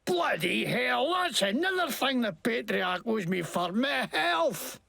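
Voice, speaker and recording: An actor playing a crude, scheming wasteland mechanic who fakes a bad Scottish accent and rants with profane, jumpy indignation in a video game. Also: male voice, extremely high pitch, sing-song, takes it slowly, vocal fry, pushed and bright, no echo, exaggerated voice. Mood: indignant. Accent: put-on, badly faked Scottish. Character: crude scheming wasteland grease-monkey man faking Scottish. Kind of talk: video game character acting.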